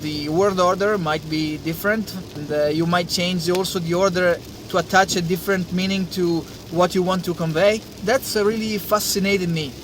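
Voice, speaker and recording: High-voiced Italian man, halting speech. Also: fairly even intonation, background noise. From Italy. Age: young adult. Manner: hesitant, many uh fillers and restarts. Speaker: science channel host. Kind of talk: casual talk to camera.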